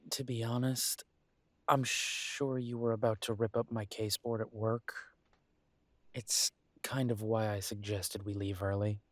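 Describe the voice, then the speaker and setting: A man's voice: lowish voice, little pitch movement, measured pace, no room sound, close to an everyday voice. A man voicing a grumbling but affectionate werewolf detective in an intimate audio roleplay, wry and fondly teasing. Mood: soft, teasing. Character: gruff, affectionate male werewolf detective, grumbling city dweller.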